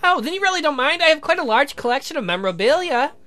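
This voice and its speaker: A woman voicing a sweet, demure, apologetic young lady from a visual novel, polite and gentle in manner. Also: high-pitched, very animated, fast, exaggerated voice. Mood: curious, sympathetic. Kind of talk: theatrical visual novel character reading.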